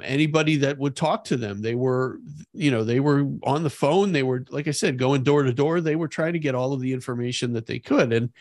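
A man co-hosting a podcast, discussing history conversationally in a halting, repetitive way. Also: mid-range voice, no echo, studio quality. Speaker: podcast co-host.

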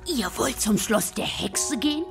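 A woman's voice: on the high side, lively intonation, unhurried, gravelly. A woman playing a sensible, motherly beaver in a German radio drama, earnest and emphatic. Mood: earnest warning. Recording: music under the voice.

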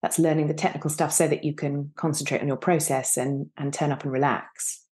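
A woman's voice: low-pitched, fairly even intonation, brisk, darkish tone. A woman in her thirties talking reflectively and earnestly as a guest actor in an interview on a video call. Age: 30s. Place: indoor room on a video call.

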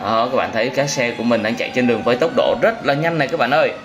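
A man's voice: mid-range voice, animated, rapid-fire, noisy background. A young man gives simple, exclamatory, repetitive commentary on a vehicle game for children. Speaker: host of a children's gaming channel.

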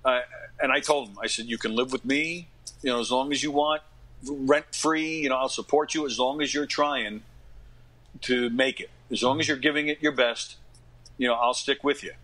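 A middle-aged man with a bright, forward voice at an ordinary male pitch. He talks casually as a podcast guest, in an earnest, plain-spoken way, circling back to repeat phrases for emphasis. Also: steady tone, moderate pace, poor audio. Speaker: podcast guest, actor and comedy radio host. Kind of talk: casual interview talk.

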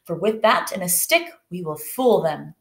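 A woman's voice: fairly low pitch, very animated, normal speed, gravelly, echoey room. A woman voicing a clever, determined seven-year-old boy in a read-aloud children's storybook. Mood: confident.

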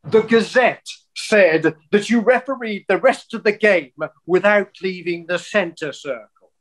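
An older football official in a comedy, exasperated but restrained. He speaks in a measured, dry way, picking his words as he reprimands, on a video call. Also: sits high. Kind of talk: filmed comedy acting. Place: room at home, on a video call.

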